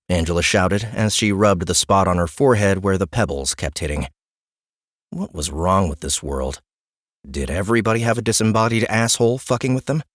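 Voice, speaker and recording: A man narrating a fantasy audiobook in a wry, expressive storytelling manner. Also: lowish voice, no echo, studio quality.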